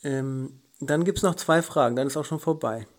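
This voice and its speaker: A podcast host who works through a list of interview questions. The delivery is halting and full of hesitant fillers, with a mid-range pitch.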